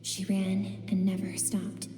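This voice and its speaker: An actress voicing the gentle, suppressed inner self of a swordswoman in a video game, speaking softly and sorrowfully. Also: mid-range voice, monotone, slow, natural voice. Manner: soft, sorrowful, pleading. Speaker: game character. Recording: roomy reverb under game music.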